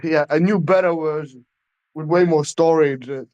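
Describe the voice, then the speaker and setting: A man co-hosting a casual gaming podcast, halting and hesitant, swearing when annoyed. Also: a little high, steady tone, a little mellow, no echo, muffled recording. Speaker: podcast co-host.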